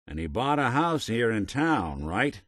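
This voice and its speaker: A man's voice: medium pitch, very animated, unhurried, fairly bright tone, no echo, studio quality. A man playing a world-weary android private detective in a video game, tough but kind, with wry, clipped hardboiled phrasing. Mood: businesslike, probing. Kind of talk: noir detective game dialogue.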